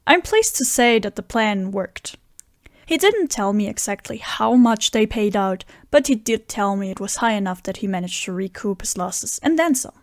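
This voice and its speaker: A woman's voice: medium pitch, animated, normal speed, dry recording, studio quality, natural voice. A woman playing an earnest, scheming friend who narrates an audio drama tale. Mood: reflective narration. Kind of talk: audio drama dialogue and first-person narration.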